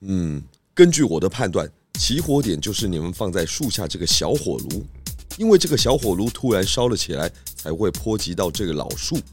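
An actor playing a calm, authoritative detective chief in a children's radio drama. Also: male voice, deep voice, a little fast, soft background music. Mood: commanding. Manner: steady, authoritative.

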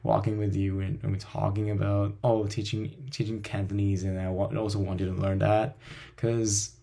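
A man from Taiwan hosts a relaxed chat, talking haltingly and often restarting or repeating his words. His voice sits fairly low and has a gravelly, fry-heavy texture. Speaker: host, language teacher. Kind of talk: casual conversation.

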